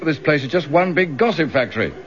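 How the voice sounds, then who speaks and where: An actor playing a breezy, good-natured, gossipy English police officer in a radio detective drama. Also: male voice, a little high, lively intonation, fast talker, slight background noise, close to an everyday voice. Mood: casual, gossipy. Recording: dull lo-fi radio drama recording.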